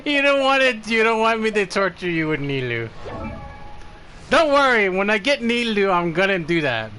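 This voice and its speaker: A man's voice: high-pitched, sing-song, normal speed, pushed and bright. A man commentating casually on a live game stream as its host, swearing often. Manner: frequent swearing. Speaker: streamer reviewing game characters.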